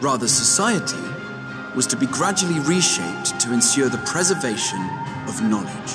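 An actor playing a scholarly young male investigator, formal and deliberate, in video game dialogue. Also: mid-range voice, very animated, over loud music, close to an everyday voice. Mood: measured, probing. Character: scholarly, measured young male catfolk investigator addressing a council.

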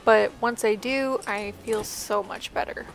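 A woman's voice: medium pitch, steady tone, a little slow, creaky, poor audio. A woman chatting casually in an upbeat way as she narrates a home vlog. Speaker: vlogger, host. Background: music.

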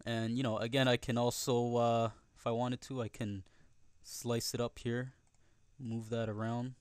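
A man narrating a software tutorial casually and conversationally, often checking in with the listener, recorded as a close voice-over. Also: mid-range voice, flat delivery, unhurried, clear, resonant tone, no echo. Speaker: tutorial host.

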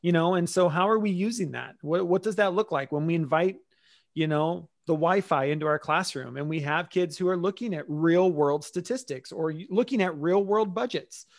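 A man in his forties hosts a casual online discussion into a desk microphone. His voice is a bit high for a man, and he makes points emphatically through rhetorical questions. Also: normal speed. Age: 40s. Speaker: host. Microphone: desk microphone on a boom, video call.

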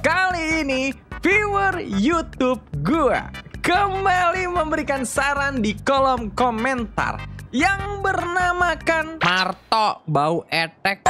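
Animated gamer man over music. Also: very high voice. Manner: playful, animated, slangy. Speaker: gaming YouTuber, host. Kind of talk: casual gameplay commentary. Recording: music behind the voice.

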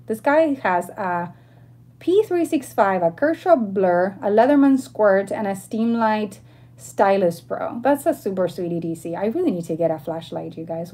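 A woman in her thirties with a mid-pitched voice hosts a relaxed livestream from home. She is from Argentina and talks informally, addressing viewers like friends as she answers their questions. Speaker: livestream host. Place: bedroom at home, indoors.

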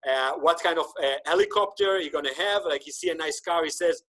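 Animated Hebrew-native man on a video call. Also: a little high, fairly quick. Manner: animated, gesturing, many restarts. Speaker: podcast host. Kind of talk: casual interview chat. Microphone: video call webcam.

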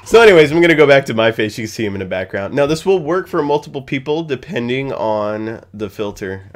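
Goofy guy in his 30s walking through a tutorial, medium pitch, chatty streamer style. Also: expressive, brisk. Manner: playful, goofy. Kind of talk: casual screen-recorded tutorial talk. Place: small home room with gaming setup, indoors.

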